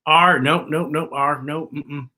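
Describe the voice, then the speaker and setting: A woman's voice: low voice, fairly even intonation, unhurried, bright, forceful tone, slight background noise. A woman hosting a children's story time in a playful, theatrical, sing-song manner. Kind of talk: children's read-aloud show presenting.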